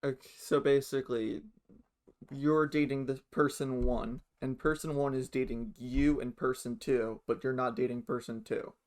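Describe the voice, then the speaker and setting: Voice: mid-range voice, fairly even intonation, measured pace, a little mellow. A young adult podcast host chatting casually, frank and swearing freely.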